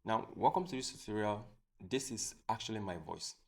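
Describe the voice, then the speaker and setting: A man hosting a software tutorial, explaining step by step in a plain, repetitive way. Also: fairly low pitch, lively intonation, moderate pace, creaky. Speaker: tutorial host. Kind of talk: screen-recorded software tutorial.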